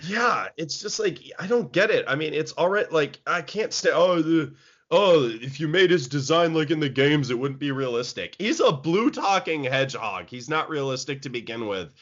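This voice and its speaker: A man's voice: on the high side, lively intonation, normal speed, a bit bright. A man co-hosting a casual talk show, speaking animatedly with lots of laughs and fillers. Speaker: podcast co-host. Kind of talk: casual chat. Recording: dull lo-fi recording.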